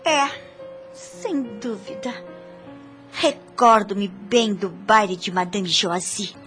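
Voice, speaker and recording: A woman's voice: mid-range voice, expressive, takes it slowly, fairly bright tone, soft background music. A woman playing an impatient, scornful wealthy lady in a radio drama, with curt, formal speech. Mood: cool recollection. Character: impatient, disdainful wealthy lady, thin and careworn companion.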